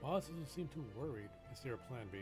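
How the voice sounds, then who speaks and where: A male game streamer with a medium-pitched voice. He reads on-screen dialogue aloud and drops in casual asides, with faint music behind him. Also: lively intonation, brisk.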